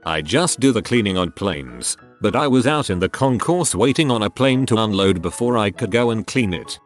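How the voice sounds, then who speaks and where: A man reading internet stories aloud as scripted narration, with lively storytelling. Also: fairly low pitch. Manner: lively storytelling with quoted voices. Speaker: narrator reading online posts. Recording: music playing faintly behind.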